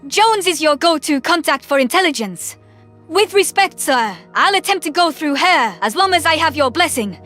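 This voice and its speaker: A woman's voice: high-pitched, animated, normal speed, pushed and bright, faint music behind. A woman playing a polite, eager human aide, deferential and earnest, in a science fiction audio story.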